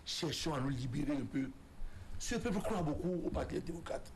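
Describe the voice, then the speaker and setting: A man's voice: a little high, lively intonation, moderate pace, darkish tone, a little hiss or hum. A man from Benin, speaking as a political guest in a televised debate. He talks emphatically and declaratively, often stumbling and restarting his phrases. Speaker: political guest, resigned deputy. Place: TV studio.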